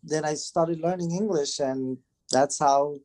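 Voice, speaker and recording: Romanian accent guy, 40s, lots of uh and um, talks casual and halting. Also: fairly high pitch, dark tone. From Transylvania, Romania. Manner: hesitant, many filler sounds. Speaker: guest, band manager and father. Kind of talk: casual interview chat.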